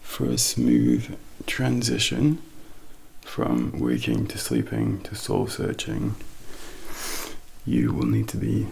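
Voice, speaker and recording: A man in an ASMR role play, half whispering in a deliberate, hushed and controlling manner. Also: low voice, very animated, vocal fry.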